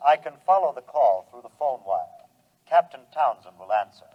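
An actor playing a cold, superior alien humanoid robot with formal, measured speech, in 1950s radio drama style. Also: male voice, medium pitch, big pitch swings. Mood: cold, calm. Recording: dull old radio recording.

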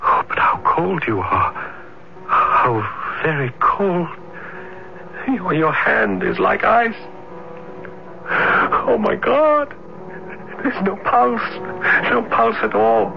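An actor playing a horror-struck, grieving student with theatrical anguish in a radio horror drama. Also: male voice, high-pitched, animated, very slow, creaky, long pauses, full character voice. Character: grief-stricken, horror-struck male student narrating his doomed love. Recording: dull vintage radio recording, loud music.

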